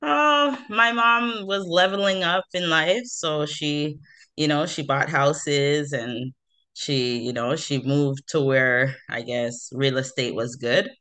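A woman's voice: low-pitched, takes it slowly, fairly bright tone, clean tone. A woman from Toronto, a hairstylist chatting as an interview guest, with many fillers and easy laughs. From Toronto, Canada. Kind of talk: casual interview chat.

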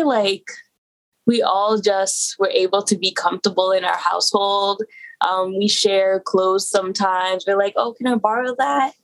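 A young woman from Toronto talks as a podcast guest. Her voice sits at a middling pitch, and her easygoing stories come with plenty of "um" and "like". She sounds relaxed and comfortable. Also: gravelly, poor audio. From Toronto, Canada. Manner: relaxed, easygoing storytelling with fillers. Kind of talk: casual interview chat.

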